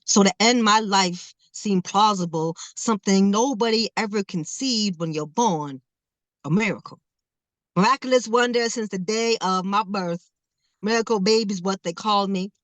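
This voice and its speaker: A woman around forty delivers spoken word in a rhythmic, emphatic cadence, leaning into each line. Her voice sits at an ordinary middle pitch. The recording is dry and close, with little room sound. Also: moderate pace, no room sound. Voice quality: says she stutters, not heard. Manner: rhythmic, emphatic poetry delivery with laughs between phrases. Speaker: guest, spoken word poet. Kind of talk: performed spoken word poem, then casual interview talk.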